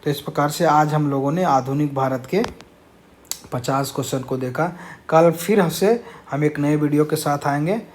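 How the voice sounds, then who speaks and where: A man's voice: fairly high pitch, moderate pace, a little mellow. A man teaching an informal exam-prep lesson, explaining answers and mixing in English words.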